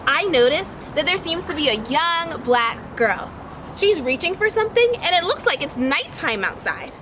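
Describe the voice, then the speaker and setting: High, animated woman reading to children. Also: moderate pace, background noise. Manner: instructional, addressing young listeners with questions. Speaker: teacher reading a picture book aloud. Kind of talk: children's read-aloud, expressive storytelling.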